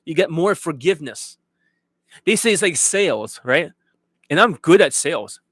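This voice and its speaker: A man's voice: on the high side, expressive, normal speed, a bit bright, no echo, clean studio sound. A man coaching viewers on dating in a blunt, profane rant, often checking that his listeners follow. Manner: blunt, profane, repeatedly asks if viewers get it. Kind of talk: unscripted livestream rant to viewers.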